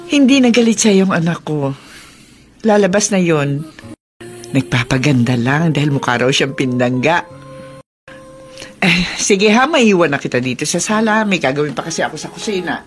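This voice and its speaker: A chatty middle aged auntie in radio drama, kinda low voice, breezy n teasing, music behind. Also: female voice, expressive, normal speed, pauses often. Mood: breezy, welcoming. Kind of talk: Tagalog radio romance drama acting.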